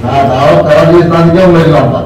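A man's voice: a little high, steady tone, fast talker, crowd noise, lightly acted. A man playing an impatient, careless young coal miner in a colloquial stage play, speaking hastily. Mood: impatient, grumbling. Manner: hasty, grumbling. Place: open-air stage, distant camera. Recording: echoey stage, far from the mic.

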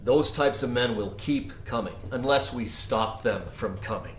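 A man's voice: medium pitch, measured pace, slight background noise, lightly acted. A man playing an earnest, determined mission leader in an audio drama, speaking seriously and directly. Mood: urgent, firm.

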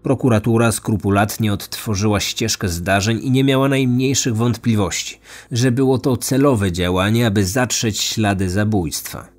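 A man's voice: fairly low pitch, steady tone, moderate pace, few pauses. A man narrating a scripted true crime story as a podcast host.

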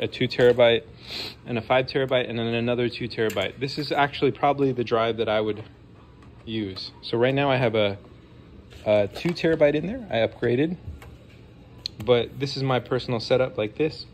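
A man's voice: medium pitch, a little hiss or hum. Sniffly man rambling about modding. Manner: rambling, enthusiastic, apologetic asides. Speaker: vlogger modding a game console. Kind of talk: casual hands-on tech talk. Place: home desk, indoors.

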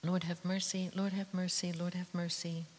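A woman intoning psalms and prayers in a steady monotone, with soft whispery edges on her words. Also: on the low side, moderate pace. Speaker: liturgical reader. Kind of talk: solemn psalm reading. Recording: echoey church hall with hiss.

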